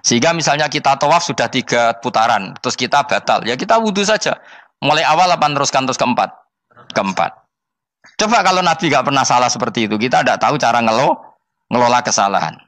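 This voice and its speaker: Man, Javanese accent, mid-pitched voice, Islamic teacher, informal sermon, conversational and teasing, repeats points, dry sound. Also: lively intonation, quick pace, fairly bright tone, no room sound. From Indonesia. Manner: conversational, teasing, often repeats and checks understanding. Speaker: Islamic teacher giving a religious lecture. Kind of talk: informal sermon mixing explanation with storytelling.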